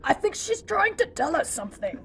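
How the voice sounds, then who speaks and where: Woman, high pitch, casual tabletop player chat, faint room noise. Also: very animated, fairly quick, gravelly. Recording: noisy room recording.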